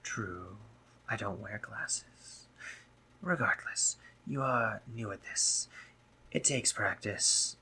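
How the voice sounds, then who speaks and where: A man playing a doting, teasing gentlemanly spider-monster painter in a romantic roleplay audio drama, with genteel phrasing, hesitant pauses and drops into whispers. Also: medium pitch, slight background noise, rough recording. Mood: awkward, fibbing, then encouraging.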